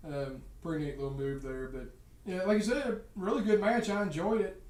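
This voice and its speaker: A man in casual wrestling chat, voice pitched a little high, who hedges and restarts his sentences and fills pauses with "uh" and "um". He sits away from the mic in an echoey room. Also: fairly even intonation, darkish tone, distant mic. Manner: hesitant, many fillers and restarts. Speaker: podcast co-host.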